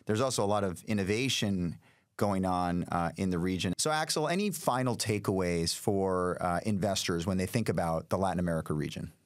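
A man's voice: sits low, a little fast, no echo. A man in his forties hosting a podcast interview, conversational and summing up his guest's points. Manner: conversational, summarizing questions. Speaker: podcast host. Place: small studio room. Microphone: studio mic on stand, headphones. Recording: dry studio sound, faint music.

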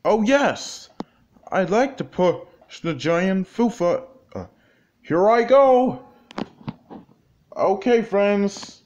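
A man reading a children's story aloud in an animated, exclamatory way, with halting phrasing. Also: sits high. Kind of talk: animated read-along storytelling. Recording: dull lo-fi sound, faint noise, clicks.

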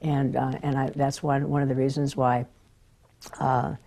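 Older woman, TV interview guest; low, gravelly, husky voice, earnest and affirming. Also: flat delivery, a little slow, warm tone. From Southern California. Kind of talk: television interview. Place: studio set, indoors.

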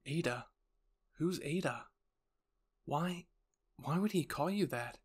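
A man's voice: fairly high pitch, expressive, takes it slowly, breathy edge, heavily acted. A man voicing the anxious, defiant inner thoughts of a young soldier in an anime story. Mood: puzzled. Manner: earnest inner monologue.

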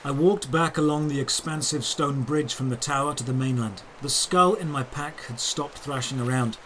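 A man narrating a scripted audio drama in the first person, in measured, deliberate phrases. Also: mid-range voice, fairly bright tone, slight background noise. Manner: measured, storytelling delivery in short deliberate phrases.

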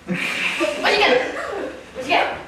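Very high bratty girl played by a woman. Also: sing-song, slow, full character voice. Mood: nosy. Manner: childish, cheeky. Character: bratty, childish girl in a stage farce. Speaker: amateur actor. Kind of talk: improvised staged reading before an audience. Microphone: far camera. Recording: echoey room from far off, noisy.

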